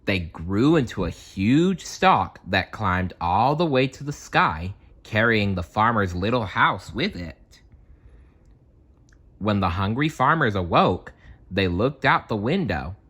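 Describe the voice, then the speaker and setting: Deep-voiced, animated male storyteller. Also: slightly rough. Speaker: read-aloud storyteller. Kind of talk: expressive children's storybook narration.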